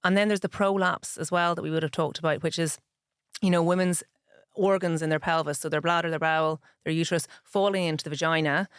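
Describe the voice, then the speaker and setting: A woman's voice: low voice, fairly even intonation, quick pace, smooth, no echo, clean studio sound. A middle-aged woman with a Northern Irish accent, speaking frankly and in detail as an interview guest. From Ireland. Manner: frank and straight-talking, explains in detail. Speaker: podcast guest, pharmacist. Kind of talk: conversational interview.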